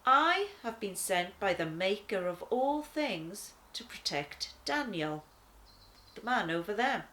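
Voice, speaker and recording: A woman voicing a calm, patient, courteous guardian angel who explains things in a children's storybook. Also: mid-range voice, a little hiss or hum, full character voice. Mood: calm, solemn. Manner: measured explaining, reassuring.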